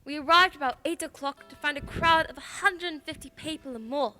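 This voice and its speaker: Woman playing high-voiced, formal lady detective. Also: pushed and bright, faint music behind. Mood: formal, recounting. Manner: formal, polite, measured. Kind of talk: radio play acting.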